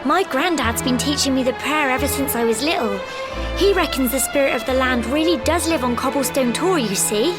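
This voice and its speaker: A woman's voice: high-pitched, brisk, over loud music, lightly acted. An actress with a British accent playing a cheerful, chatty young village woman in a video game cutscene. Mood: chatty, earnest. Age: young adult.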